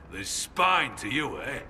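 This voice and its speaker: A man's voice: medium pitch, full character voice. A man playing a wry, rustic old man in a fantasy game, with folksy, clipped talk. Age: older. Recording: clear background ambient noise.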